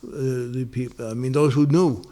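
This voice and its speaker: An older man talks through old memories in an unhurried way. He keeps circling back with restarts and fillers, and his voice sits in a mid-range pitch. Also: fairly even intonation, warm tone. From New York, United States. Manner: rambling, many restarts and pauses. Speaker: interviewee. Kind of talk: casual oral-history interview.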